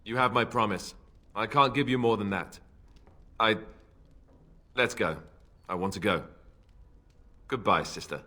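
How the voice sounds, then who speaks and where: A man playing an earnest, insecure, soft-hearted young warrior in a video game, speaking hesitantly. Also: on the low side, measured pace, long pauses. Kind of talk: video game character acting.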